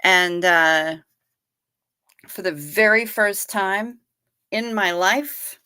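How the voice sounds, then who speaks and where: A woman's voice: mid-range voice, drawn-out words, bright, forceful tone, smooth, no room sound, studio quality. A woman in her fifties hosting a livestream, chatting playfully and answering viewers by name. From Niagara Falls region. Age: 50s. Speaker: livestream host. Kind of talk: casual livestream chat reading viewer comments.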